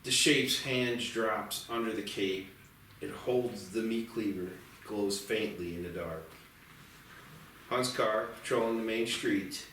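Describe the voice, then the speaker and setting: A man reading screenplay stage directions in a deliberate, dramatic narration. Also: lowish voice, a bit bright, a little hiss or hum. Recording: very echoey, distant mic, music behind.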